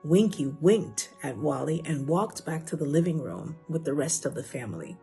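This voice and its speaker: A woman narrating a children's storybook in a measured read-aloud manner. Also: low voice, lively intonation, creaky, warm tone, faint music behind.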